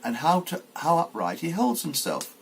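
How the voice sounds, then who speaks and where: A man's voice: fairly high pitch, huge pitch swings, normal speed, vocal fry, a little hiss or hum, voice pushed up, exaggerated voice. A man voicing a proud, protective mother duck in an exclaiming, instructive way, as in a storybook reading.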